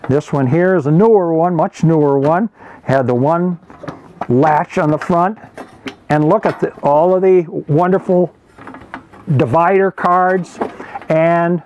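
A man in his seventies giving an informal show-and-tell as an archivist, speaking in a halting, self-correcting, explanatory way. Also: a little high, darkish tone, slight background noise. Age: 70s. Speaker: archivist presenting a collection. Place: indoor archive room with packed shelves. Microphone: lapel mic.

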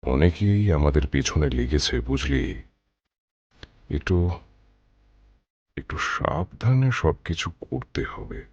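A man voices a scheming, commanding boss in a horror cartoon. His very deep voice moves slowly and sinisterly. The recording is dry and dull. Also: animated, no room sound, full character voice. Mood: sinister caution. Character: shady, cautious village boss, commanding and sinister. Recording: dull, dry studio sound.

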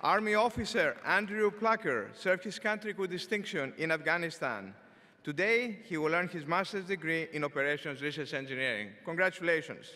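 An older man delivers a formal commencement address from a podium in a huge arena, his words trailing off into a long reverb. His voice is bright and sits a little high. He speaks in a measured, deliberate way, with an occasional filler word. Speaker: engineering school dean. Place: large arena stage at a podium. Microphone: podium microphone.

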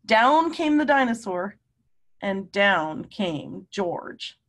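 A middle-aged woman, a teacher, reads a children's story aloud expressively and emphatically, pausing to question and explain. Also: mid-range voice, sing-song, fairly bright tone. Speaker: teacher reading aloud to students. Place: small room at home.

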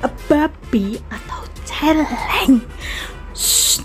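A woman's voice: high voice, expressive, drawn-out words, soft background music. A young Indonesian woman vlogging casually about a buffet restaurant, chatty and enthusiastic, talking to her followers. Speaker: food vlogger. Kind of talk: casual food vlog narration. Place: busy indoor buffet restaurant.

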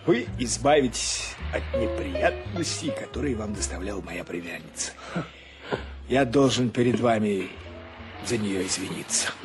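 An actor playing a flustered ship's captain and doting uncle, stammering and fretful, in a Russian radio play. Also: male voice, on the low side. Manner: stammering, agitated.

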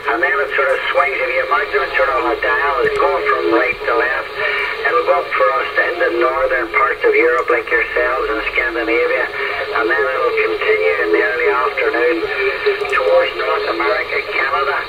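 A man's voice: mid-range voice, wildly swooping pitch, normal speed, vocal fry. A man chatting casually as an amateur radio operator, heard through a radio transmission. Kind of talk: casual radio contact chat. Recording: dull noisy shortwave radio reception.

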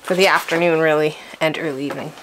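A woman's voice: low-pitched, fairly even intonation, fast talker, very noisy. A woman in her thirties chatting casually to the camera as she vlogs an ice fishing trip, laughing easily. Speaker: outdoor vlogger. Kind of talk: casual vlog narration. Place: frozen lake outdoors, then inside an ice fishing tent.

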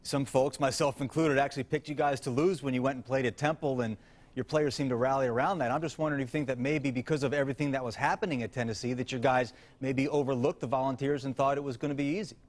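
A man in his thirties hosting a TV sports show, putting composed, measured questions to a coach in a studio. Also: mid-range voice, steady tone. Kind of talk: studio interview.

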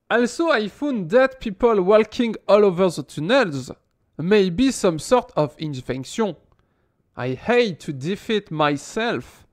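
High-voiced French man streaming a game. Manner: joking, teasing chat, mock-indignant. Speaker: gaming streamer. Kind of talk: casual Let's Play commentary. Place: small room at home.

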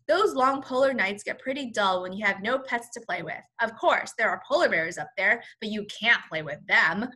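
A woman's voice: on the high side, pushed and bright. A woman voicing a hearty, kindly bearded admiral in a storybook, with emphatic, stately delivery.

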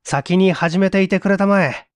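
A man's voice: sits high, normal speed, no room sound, lightly acted. A man playing an easygoing master craftsman with a casual, bossy, old-fashioned manner in a story reading. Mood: casual, brisk.

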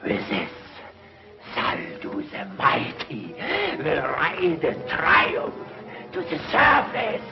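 An actor playing a megalomaniac, vengeful underworld tyrant in a 1950s TV sci-fi serial, declaiming theatrically. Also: male voice, on the high side, huge pitch swings, creaky, exaggerated voice. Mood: vengeful triumph. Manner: grandiose, theatrical, drawn-out declaiming. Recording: dull 1950s TV soundtrack with music.

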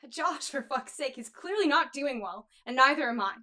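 An actress playing a frank, fed-up young makeup artist in a naturalistic film drama. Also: female voice, high-pitched, expressive, normal speed, pushed and bright. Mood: exasperated, blunt. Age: 20s. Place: indoors in a wooden cabin.

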